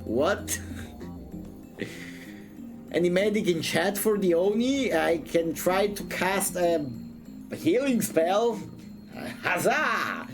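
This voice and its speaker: Animated, joking man streamer over music. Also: high voice, very slow, pauses often. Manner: joking, playful, exclamatory. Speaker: vtuber streamer. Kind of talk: casual livestream chat while reading a visual novel.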